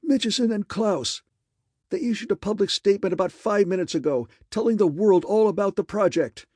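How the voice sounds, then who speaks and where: A man's voice: moderate pace, slightly rough, no echo, clean studio sound. A man reading an audiobook, voicing a frantic male colleague calling with urgent news. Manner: excited, breathless, high-pitched urgency.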